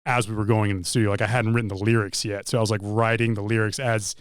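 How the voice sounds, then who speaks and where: A man's voice: lowish voice, level tone, fast, clear, resonant tone, no echo, clean studio sound. A man in a band, talking casually in an interview and giving long, rambling explanations full of restarts. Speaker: band member.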